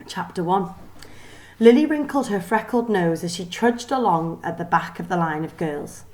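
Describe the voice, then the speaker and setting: A woman reading a children's story aloud in an expressive, deliberate way with dramatic emphasis. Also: lowish voice. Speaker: teacher reading a book aloud.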